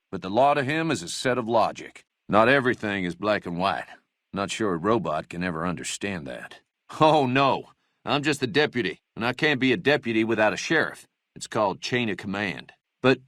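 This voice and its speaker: A man's voice: mid-range voice, a bit bright, no room sound, studio quality, lightly acted. An actor playing a meek, self-important small-town deputy in a video game, fussy, wordy and mannered in his politeness. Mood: glum, resigned. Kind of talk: scripted game dialogue acting.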